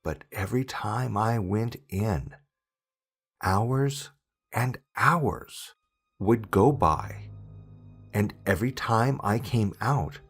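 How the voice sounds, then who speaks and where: A man reading a scary story as scripted narration, in a dramatic storytelling manner with deliberate pauses. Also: fairly low pitch. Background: background music.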